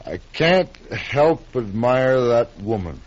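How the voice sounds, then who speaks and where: A tipsy, rambling drinker. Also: male voice, medium pitch, big pitch swings. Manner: slow, drunken and rambling. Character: tipsy, sentimental drinking man. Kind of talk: 1950s radio drama acting. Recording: muffled old radio broadcast.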